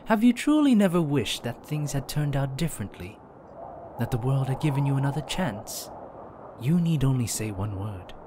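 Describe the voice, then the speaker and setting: A man's voice: mid-range voice, big pitch swings, moderate pace, background noise, close to an everyday voice. Sly, swooping, enigmatic deal-maker played by a man. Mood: coaxing, sly. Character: sly, enigmatic long-haired deal-maker, coolly manipulative. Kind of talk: dramatic comic dub acting.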